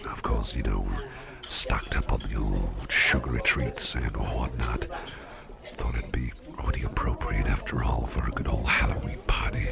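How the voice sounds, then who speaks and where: A man voicing a jovial, eager office boss chatting one-on-one at a party, chummy and teasing in soft half-whispers, as an ASMR roleplay. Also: very deep voice, fairly even intonation, moderate pace, vocal fry, over loud music, full character voice. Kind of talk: ASMR roleplay, personal attention.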